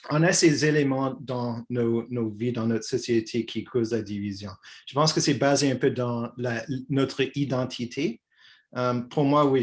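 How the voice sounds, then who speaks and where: A man in his sixties from Quebec, as a guest in a relaxed dialogue, hesitating and restarting his phrases often. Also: mid-range voice. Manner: halting, many restarts and fillers. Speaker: guest, peace activist. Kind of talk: conversational dialogue.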